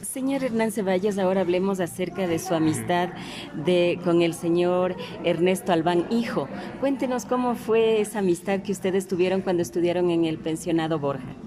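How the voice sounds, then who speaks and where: A woman's voice: lowish voice, moderate pace. A woman with an Ecuadorian accent hosting an interview in a formal, prepared way. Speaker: host, interviewer.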